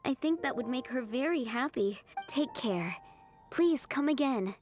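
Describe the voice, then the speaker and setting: A woman's voice: sits high, animated, measured pace. An actress voicing a kind, sincere young woman in a video game, speaking politely and earnestly. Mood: sympathetic, hopeful. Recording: game music behind the voice.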